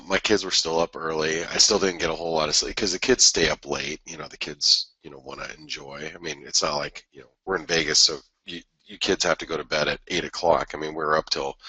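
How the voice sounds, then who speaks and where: Stuffy, raspy low-voiced man. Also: pushed and bright, dry recording, poor audio. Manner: rambling, many restarts and fillers. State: stuffy nose from a head cold. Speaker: host of a trading webinar. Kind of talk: casual market analysis talk.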